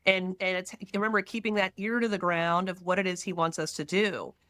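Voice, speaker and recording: A pregnant woman from Texas, a podcast guest who talks in quick, animated bursts and tells stories with dramatic asides. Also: lowish voice. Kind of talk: casual faith-centered conversation.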